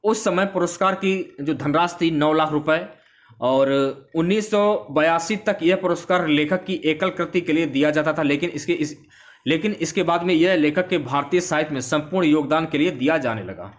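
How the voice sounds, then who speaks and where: A man with a slightly high voice speaks as an exam-prep teacher. He explains in an informal lecture style, going from question to answer and repeating key phrases as reminders. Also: moderate pace.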